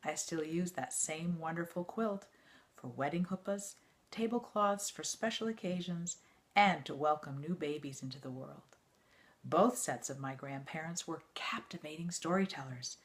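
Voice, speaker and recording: A woman reading an autobiography aloud like a teacher to students, in a measured, expressive storytelling way. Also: deep voice.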